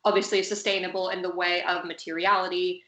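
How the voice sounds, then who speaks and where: A woman's voice: lowish voice, flat delivery, brisk, fairly bright tone, echoey room. A woman from California, a fashion designer hosting a casual live-streamed conversation, hedging with many fillers. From California, United States. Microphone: video call.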